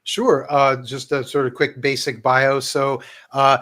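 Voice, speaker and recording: A man's voice: medium pitch, flat delivery, measured pace, a bit bright. A man in his fifties who grew up in Southern California, a guest historian answering questions with lots of fillers. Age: 50s. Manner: hesitant, with many fillers. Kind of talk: conversational interview answers.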